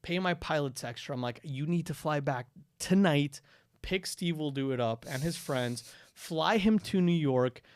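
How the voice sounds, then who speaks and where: A man's voice: on the high side, normal speed, clear, resonant tone, dry recording. A young man from Ecuador, a podcast guest telling stories casually with lots of "like" and "dude". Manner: animated storytelling, frequent "dude" and "like", many restarts.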